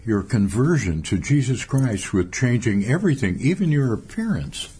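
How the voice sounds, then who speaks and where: A man interviewing a guest on a radio program, asking questions in a gentle, sympathetic way. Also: medium pitch, big pitch swings, moderate pace, husky.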